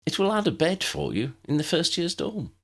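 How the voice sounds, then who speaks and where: Man voicing a high-pitched, friendly schoolgirl witch. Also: huge pitch swings, normal speed, exaggerated voice. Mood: helpful, friendly. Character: young schoolgirl witch, friendly, helpful guide. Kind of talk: audiobook character voice.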